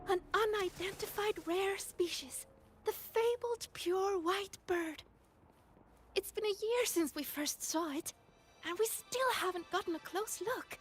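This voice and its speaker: A woman voicing a sweet, excitable young female student in a video game, speaking softly and earnestly. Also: very high-pitched, measured pace, soft background music. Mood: excited.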